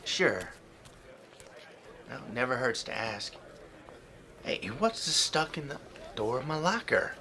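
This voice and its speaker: A man playing an earnest, polite high school football player in an audio drama, sincere and mild. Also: animated, slow, pauses often, sharp tone, a little hiss or hum, exaggerated voice.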